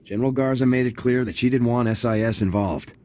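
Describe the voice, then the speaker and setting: A man plays a military squad commander for a video game. His voice has a medium male pitch. He sounds firm and authoritative, stating things plainly without fuss. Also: fast talker, darkish tone, lightly acted. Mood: matter-of-fact. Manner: authoritative, matter-of-fact.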